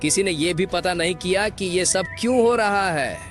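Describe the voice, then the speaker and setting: A man's voice: sits high, normal speed, a bit bright, faint music behind. A man voicing a solemn foreign camel peacemaker in a cartoon, earnest, with slightly broken grammar. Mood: solemn, concerned. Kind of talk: animated moral-story character acting.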